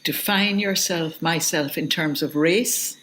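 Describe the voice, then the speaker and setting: A woman's voice: low voice, slow pace, fairly bright tone. A middle-aged woman, a literature professor answering interview questions in a reflective, hesitant way. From Jamaica. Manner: thoughtful, hesitant, with restarts.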